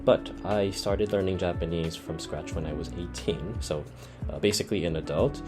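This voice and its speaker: A young man giving language learning tips in a relaxed, conversational way, often saying "you know". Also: sits low, moderate pace. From United States. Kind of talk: casual explainer to camera. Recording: soft guitar music underneath.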